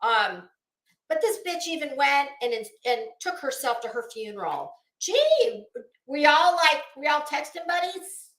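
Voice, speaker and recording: High-pitched, scornful American woman ranting. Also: big pitch swings, unhurried, a bit bright. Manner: scornful, sarcastic, emphatic with rhetorical questions. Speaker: online livestream host. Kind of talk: ranting livestream commentary, casual and profane. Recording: roomy, echoing sound.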